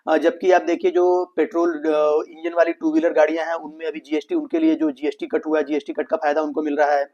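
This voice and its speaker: A man's voice: on the high side, flat delivery, fairly quick, hardly any pauses, darkish tone. An Indian man presenting a financial explainer, addressing viewers as friends and mixing English business terms into his explanations. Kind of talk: explainer commentary on an earnings call. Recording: dull lo-fi voice recording.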